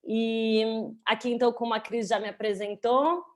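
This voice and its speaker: A woman nutritionist, opening an online lecture in a calm, measured way. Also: a little high. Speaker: nutritionist, guest speaker.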